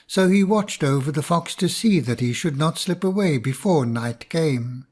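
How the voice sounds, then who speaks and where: Slow, measured male storyteller. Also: a little high, a little mellow. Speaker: narrator. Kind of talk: storybook narration.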